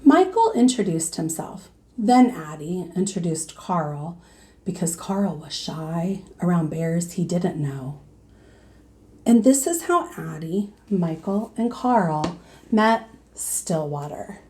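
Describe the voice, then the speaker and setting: A woman teacher reading a storybook aloud to children in an expressive manner. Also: lowish voice. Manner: slow, expressive, addressing young listeners.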